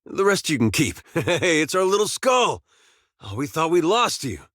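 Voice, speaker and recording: An actor playing a laid-back, wry farmer and veteran soldier in a video game, with a folksy, understated drawl. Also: male voice, lowish voice, lively intonation, normal speed, no echo, clean studio sound, close to an everyday voice. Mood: playful, fond. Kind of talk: video game character dialogue.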